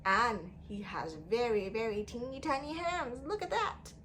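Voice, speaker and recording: A woman's voice: on the high side, lively intonation, unhurried, a bit bright, a little hiss or hum. A woman leading an interactive storytime lesson for young children, speaking in an exaggerated sing-song way with prompting questions and repetition.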